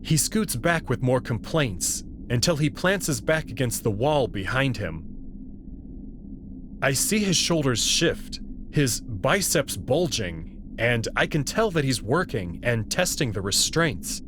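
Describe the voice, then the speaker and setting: Slow, expressive male story reader. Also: medium pitch, background noise. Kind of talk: reading a visual novel aloud in first person.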